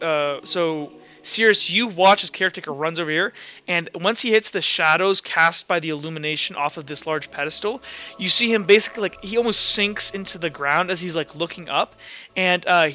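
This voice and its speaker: A man narrating and refereeing a tabletop roleplaying game as game master, improvising in a halting way. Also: a little high, bright, forceful tone, lo-fi sound.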